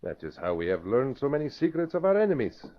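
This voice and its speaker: An actor playing a ruthless, self-satisfied enemy agent, sinister and deliberate, in an old radio drama. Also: male voice, mid-range voice, very animated, natural voice. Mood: smugly menacing. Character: gloating, ruthless male enemy agent plotting murder. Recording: muffled vintage radio recording.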